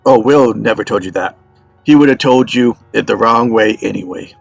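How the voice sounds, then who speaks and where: A man playing an ailing old father who spins tall tales in a wry, deadpan, unhurried way in a script read. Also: on the low side, creaky, no room sound, dull audio, soft background music. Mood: wry, teasing. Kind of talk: dramatic script table read.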